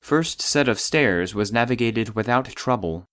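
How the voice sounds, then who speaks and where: An actor playing a formal database voice, reading clinical case logs in a detached, precise manner. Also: male voice, medium pitch, lively intonation, a little slow, fairly bright tone. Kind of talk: scripted narration of clinical reports.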